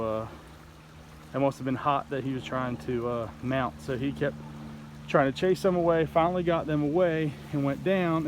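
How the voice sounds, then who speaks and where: A man telling a story casually in a halting, rambling way, like an outdoor vlog host talking to the camera. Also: medium pitch, a little mellow. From United States. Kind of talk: casual play-by-play hunting storytelling. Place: outdoors in woods by a creek. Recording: noisy outdoor ambience.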